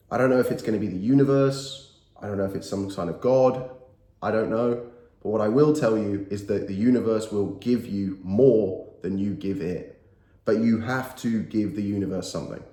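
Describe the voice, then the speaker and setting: A man's voice: fairly low pitch, warm tone. A man in his thirties, nasal from a cold, giving earnest and emphatic motivational talk to camera and repeating his key phrases. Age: 30s. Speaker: motivational YouTuber. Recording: roomy echo.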